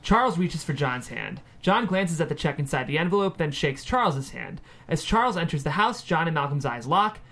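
Guy reading out script stage directions as narrator, slightly high voice. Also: animated, normal speed, creaky, few pauses, sharp tone.

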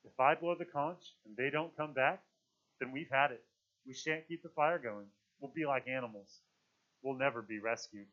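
Boy leader for storybook adult male reader: high, lively pitch, earnest, wavering, rule-bound. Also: muffled recording. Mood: bleak, doubtful. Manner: halting, self-questioning, insistent about rules.

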